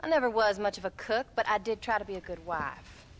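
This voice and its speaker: A woman playing a wry, sharp-tongued but affectionate frontier wife with an American Western accent in a video game. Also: medium pitch, fairly quick, a little hiss or hum, natural voice. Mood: tender, teasing. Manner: wry, teasing.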